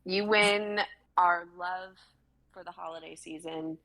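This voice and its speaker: Gravelly-voiced playful young woman. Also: lowish voice, fairly even intonation, takes it slowly, pushed and bright, low-quality recording. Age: 20s. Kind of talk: casual livestream chat.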